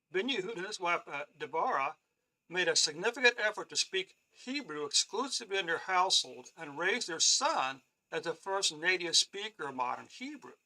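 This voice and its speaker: Male Bible teacher, high and very bright voice, halting delivery, clears his throat often. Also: poor audio. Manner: checks in with "okay?" often; reads from on-screen notes and explains them. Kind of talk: live-streamed Bible lesson.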